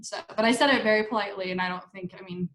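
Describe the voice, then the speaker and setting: A woman's voice: mid-range voice, very fast, fairly bright tone. Chatty young woman, echoey room. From Argentina. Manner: chatty, many likes and restarts, laughs often. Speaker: staff writer and interview guest. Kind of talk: casual conversational interview.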